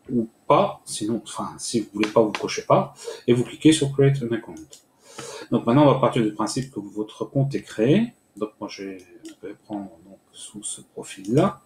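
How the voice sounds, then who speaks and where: A man walking viewers through a screen-recorded software tutorial step by step, pausing often with filler sounds. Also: fairly low pitch. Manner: hesitant, many "euh" fillers, step-by-step explaining. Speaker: tutorial host. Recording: echoey room with faint noise.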